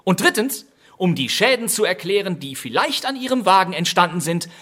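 A man playing a suave detective in a radio crime drama, speaking in a deliberate, theatrical and pointed way. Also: high voice, very animated, bright, forceful tone, heavily acted. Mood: pointed, smug. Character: suave male detective exposing the culprit.

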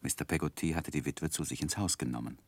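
A grown man looking back on his life as narrator of a 1960s literary radio play. His voice is low and sits in a dry studio sound. He speaks in a measured, reflective way with careful articulation and quiet feeling. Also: creaky, dry recording, heavily acted. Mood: calm, narrating.

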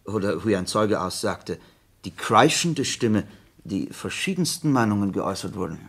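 The detective's loyal listener speaks in a fairly low voice with a husky edge. He is attentive and questioning, readily astonished, in classic radio play style. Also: very animated, normal speed, fairly bright tone, full character voice. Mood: earnest, thoughtful. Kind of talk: German radio play acting.

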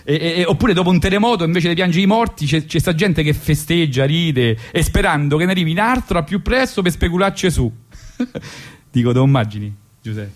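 A male radio host with a strong Roman accent and a somewhat high-set voice. He talks colloquially, with ironic comic intent, rambling and circling back over his phrases as in a loose stage monologue. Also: lively intonation, fairly quick.

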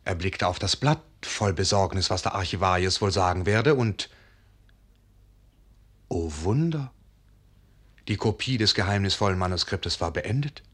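A man narrating a literary fairy tale in a measured storytelling manner. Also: low-pitched, lively intonation, a bit bright, husky.

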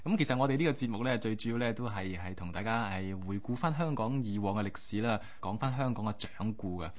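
A man's voice: on the low side, fast. A man with a Hong Kong accent co-hosting a radio show in a conversational presenting style. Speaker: radio co-host.

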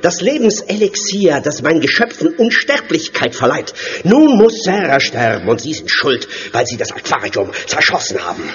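An actor voicing a cruel, taunting mad surgeon in a horror radio play, with a theatrical, sneering delivery. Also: male voice, a little high, sing-song, normal speed, hardly any pauses. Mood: manic outrage. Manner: theatrical, sneering, swinging into hysteria. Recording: dull muffled audio over loud music.

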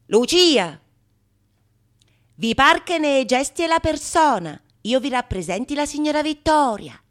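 A woman playing a fierce, lewd, vengeful wife in a Renaissance stage comedy, declaiming emphatically with drawn-out words and gleeful mock passion. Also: high-pitched, expressive. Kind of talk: theatrical monologue of Renaissance comedy.

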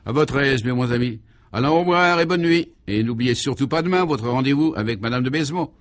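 Man playing jovial, pompous governor, pitched up. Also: a little fast, natural voice. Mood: hearty, expansive. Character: jovial, grandiloquent male governor hosting a gala.

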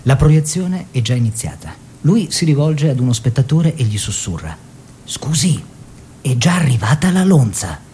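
An actor playing a teasing, cultured painter and husband in a radio drama, ironic and theatrical, stretching some words and hurrying others. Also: male voice, mid-range voice, sing-song, normal speed, dark tone, a little hiss or hum, natural voice. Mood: amused storytelling. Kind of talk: theatrical radio drama, storytelling and bantering dialogue.

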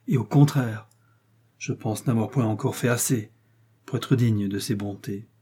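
A man's voice: medium pitch, mellow, breathy edge, lightly acted. A man reading an audiobook, voicing a brave, proud young swordsman who speaks in a respectful, earnest way.

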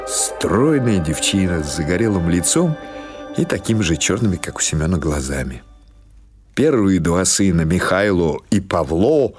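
A man narrates a piece of literary fiction in a low-pitched voice. His delivery is scripted, measured and expressive, in the manner of an audiobook storyteller. Faint music plays behind him.

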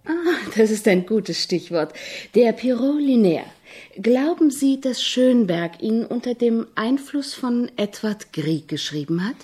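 An actress playing a persistent, highbrow woman music journalist with formal, wordy questioning in a radio play. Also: lively intonation, unhurried, dark tone. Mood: probing.

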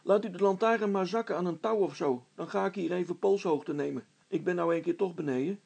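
A man voicing an eager, level-headed boy, the son of a handyman, in a storybook, in a matter-of-fact, high-pitched voice. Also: a little fast, creaky, darkish tone, breathy edge, exaggerated voice. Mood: pleading.

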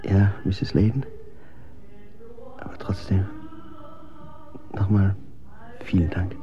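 An actor in a radio drama playing a tired, polite young man who speaks haltingly and quietly. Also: deep voice, little pitch movement, lo-fi sound, over music. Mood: weary, grateful. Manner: halting, subdued, courteous. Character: weary, polite young man in endurance contest.

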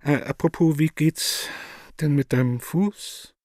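A man playing a haughty, calculating Virgin Mary in a radio play, with an affected, drawn-out manner. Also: a little high, a little mellow, no room sound, studio quality. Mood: affectedly friendly. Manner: affected, drawn-out, sprinkled with French.

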